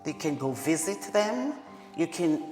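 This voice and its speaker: A woman's voice: deep voice, sing-song, unhurried. A woman with a Jamaican accent, a care worker who gives plain, direct answers in an interview. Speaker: direct support professional. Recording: echoey hall with music behind.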